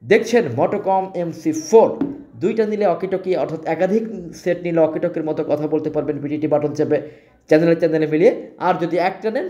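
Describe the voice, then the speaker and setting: A Bangladeshi man delivers an informal sales pitch as a shop presenter. His voice sits a little high for a man, with a very relaxed, mellow tone. He repeats key phrases as he goes. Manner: repetitive, restates phrases. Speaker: shop presenter selling products.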